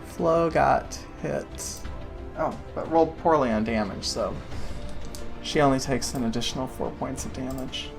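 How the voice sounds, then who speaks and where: A woman's voice: a bass voice, unhurried, creaky, over music. A woman narrating a tabletop game as game master, loose and giggly with lots of fillers.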